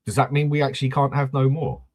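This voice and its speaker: A man's voice: mid-range voice, fast talker, background noise. A man hosting a casual football fan chat, blunt and swearing freely. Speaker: host of a football fan channel.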